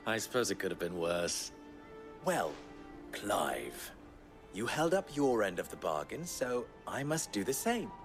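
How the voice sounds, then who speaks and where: An actor playing a suave, silver-tongued fixer in a video game, eloquent and wry. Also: male voice, on the low side, very animated, slow, long pauses, over music, exaggerated voice. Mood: wry, courteous. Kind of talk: theatrical video game acting.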